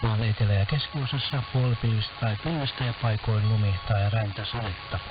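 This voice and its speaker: A man's voice: on the low side, fast. A man, a radio DJ, reading a weather forecast over shortwave radio. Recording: muffled shortwave radio with loud music. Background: music.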